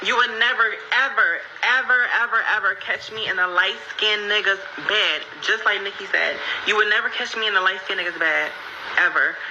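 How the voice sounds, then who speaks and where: A woman's voice: medium pitch, moderate pace, sharp tone. A woman in a casual, opinionated rant, speaking emphatically and repeating herself, on a lo-fi clip. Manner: emphatic, repetitive. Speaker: speaker in a social media clip. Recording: muffled, noisy lo-fi clip playback.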